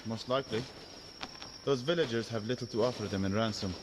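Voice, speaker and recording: Man playing a low-voiced, world-weary shepherd guide. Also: a little fast, background noise, lightly acted. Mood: grim, pragmatic. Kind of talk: dramatic audio game acting.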